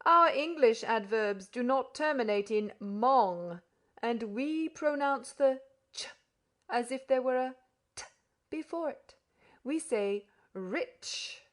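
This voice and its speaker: A woman's voice: fairly high pitch, sing-song, a bit bright, exaggerated voice. A woman playing a pompous condescending Englishman. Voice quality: pompous, slow, over-explaining. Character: pompous condescending middle-aged Englishman host.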